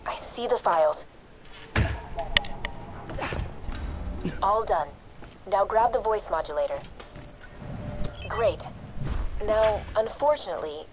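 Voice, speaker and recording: A woman's voice: medium pitch, natural voice. An actress playing a composed young female mission guide in a video game, instructive with dry wit. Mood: calm, urging.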